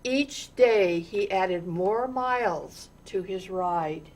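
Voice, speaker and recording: A woman reading a children's story aloud in an expressive, deliberate way, with dramatic pauses and stressed words. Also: medium pitch. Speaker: storytime reader.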